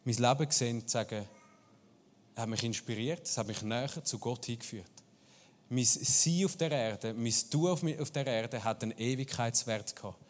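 Earnest male preacher in reverberant hall. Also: medium pitch. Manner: earnest, searching phrasing with restarts. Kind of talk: sermon with guided prayer.

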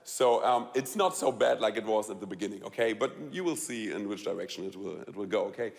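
A man with a German accent tells a personal story to a live audience, halting and repeating words, laughing often and asking the audience questions. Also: fairly low pitch, gravelly, long reverb tail. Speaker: speaker telling a personal story.

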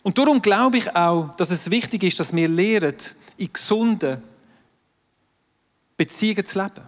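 A man's voice: sits high, moderate pace. A man with a Swiss German accent, French being his first language, preaching an emphatic sermon to a live congregation in a large hall. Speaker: pastor. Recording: distant voice in a reverberant hall with crowd.

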